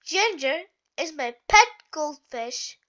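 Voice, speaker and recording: A woman's voice: sits high, lively intonation, slow pace, a bit bright, muffled recording, heavily acted. A woman voicing a cheery, welcoming female rabbit who works at an aquarium in a storybook.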